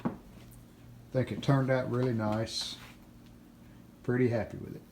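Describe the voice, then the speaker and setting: A man giving casual, plainspoken hands-on narration as the host of a repair video, unscripted and pausing mid-sentence. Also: medium pitch, level tone. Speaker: host of a radio restoration video channel. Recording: clear background noise, room ambience.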